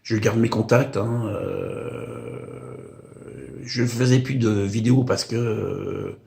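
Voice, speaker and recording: Older guy, gravelly voice, lots of euh and hein, rambling vlog talk. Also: fairly low pitch, steady tone, takes it slowly, a little mellow. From Paris, France. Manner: hesitant, frequent "euh" and "hein" fillers. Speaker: video blogger. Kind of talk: casual rambling monologue. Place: home in the countryside.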